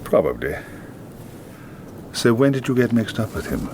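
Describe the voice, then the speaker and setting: A man's voice: mid-range voice, big pitch swings, moderate pace, background noise, natural voice. An actor playing a wry, self-mocking, worldly man in a film drama who asks his questions in a dry, ironic way. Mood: probing.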